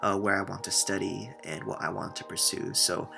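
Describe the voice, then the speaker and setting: Young Japanese man, gravelly voice. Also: sits low, level tone, normal speed, music in the background. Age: young adult. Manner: earnest, with many filler sounds. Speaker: university student. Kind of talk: answering interview questions.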